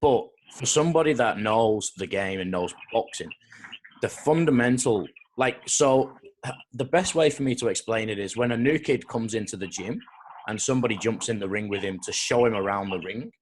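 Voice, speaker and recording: A man's voice: lowish voice, moderate pace, a little hiss or hum, rough recording. A man hosting an interview, casual and supportive, breaking down boxing technique with his guest. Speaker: interviewer host. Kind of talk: interview conversation.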